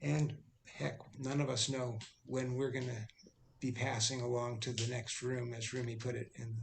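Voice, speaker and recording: A man hosting an online poetry gathering, introducing a guest in a relaxed way with pauses. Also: medium pitch, gravelly, a little hiss or hum. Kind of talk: casual spoken introduction. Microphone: video call.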